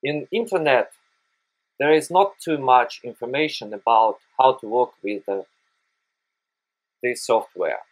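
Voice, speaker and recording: Slow, halting Russian-accented man. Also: mid-range voice, rough recording. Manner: hesitant, frequent "uh" pauses, careful non-native phrasing. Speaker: photography tutorial host. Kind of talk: screen-recorded software tutorial.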